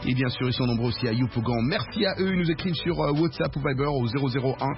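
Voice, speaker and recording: A man hosting a live call-in radio show from a studio, with lively, playful banter. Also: mid-range voice, fast. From New York. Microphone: studio microphone. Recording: dull broadcast audio with music.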